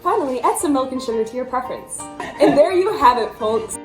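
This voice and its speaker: A young woman with a high, animated voice gives step-by-step tutorial instructions. Her recording is echoey, with faint music behind. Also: a little fast. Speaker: tutorial presenter. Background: faint background music.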